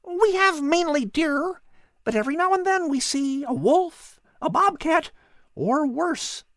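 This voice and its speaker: A woman's voice: high voice, lively intonation, unhurried, dry recording, clean studio sound, voice pushed up. A woman voicing a small, chirpy female chickadee in a storybook. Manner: bright and chirpy. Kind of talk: storybook reading.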